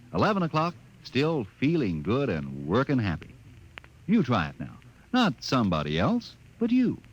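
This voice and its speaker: A man's voice: mid-range voice, slightly rough. A male announcer reading a 1950s radio commercial in a folksy, persuasive way. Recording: muffled old radio recording.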